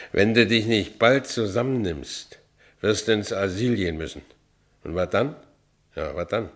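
A deep voice from a corner tavern, a middle-aged jailbird muttering defiantly into his glass and suddenly flaring up. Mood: brooding. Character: down-and-out Berlin ex-convict man, brooding yet defiant. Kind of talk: radio drama acting, first-person narration.

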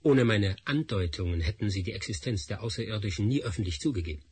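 An actor playing a defiant researcher who coldly threatens his rival in a radio play, with a husky voice. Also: fairly low pitch, lively intonation, a little mellow. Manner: cold, threatening, measured. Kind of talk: radio drama acting.

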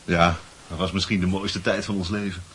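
A man's voice: deep voice, flat delivery, moderate pace, a bit bright, a little hiss or hum. A man playing a breezy, boastful teenage schoolboy in a Dutch radio play, chatty and full of worldly-wise airs. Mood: nostalgic.

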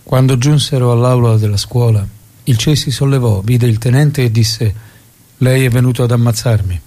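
An actor playing a solemn storyteller, giving scripted dramatic narration in a grave, measured way. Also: male voice, medium pitch, warm tone, close to an everyday voice. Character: solemn male storyteller recounting a revolutionary's death.

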